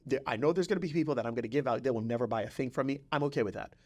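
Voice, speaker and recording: A male podcast host with a mid-pitched voice talks in a conversational, motivational way. He drives points home by repeating phrases and keeps checking in with short tags like "right?" and "okay?". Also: rapid-fire, darkish tone.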